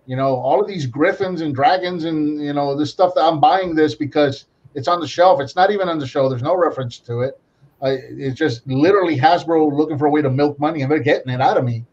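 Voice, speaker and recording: Loud rambling man on lo-fi audio. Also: a little high. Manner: loud, rambling, quick restarts. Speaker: podcast co-host. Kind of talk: casual chat.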